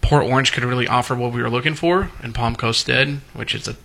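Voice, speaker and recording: Gravelly bright-voiced Brazilian-born man. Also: mid-range voice, fast talker. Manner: polite, plainspoken. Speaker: guest entrepreneur. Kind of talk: casual radio interview. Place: radio studio.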